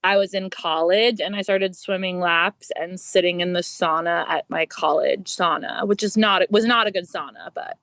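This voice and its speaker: A woman's voice: on the low side, normal speed, hardly any pauses, fairly bright tone, no echo. Female panelist, 30s, dry close sound, frank and wry. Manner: candid, wry self-deprecation. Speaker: panelist, sauna operator. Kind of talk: panel discussion answer.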